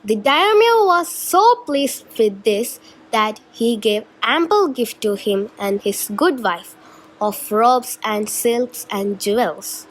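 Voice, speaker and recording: A woman's voice: on the high side, expressive, slow. A woman reading scripted storybook narration for a shadow puppet show. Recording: noisy amateur recording.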